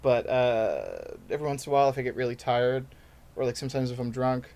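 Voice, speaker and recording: A young man from New York City, a guest comedian chatting casually on a podcast, rambling and cutting himself off, often slipping into quick acted-out quotes. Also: medium pitch, very animated, darkish tone. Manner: rambling, self-interrupting, quick to act out quotes.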